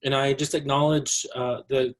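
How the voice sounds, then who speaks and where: A Navajo man from the US Southwest giving a measured presentation at a virtual symposium, with frequent ums and throat clearing. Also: mid-range voice, little pitch movement. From Navajo Nation, US Southwest. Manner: measured, opens with a greeting in his own language. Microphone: video call.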